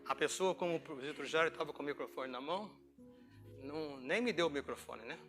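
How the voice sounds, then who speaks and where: Brazilian male pastor, conversational sermon storyteller, bright and forceful delivery, faint music underneath. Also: on the high side, fairly quick. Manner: conversational storytelling, acting out dialogue, asking rhetorical questions. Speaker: pastor preaching. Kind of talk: informal sermon with personal anecdotes.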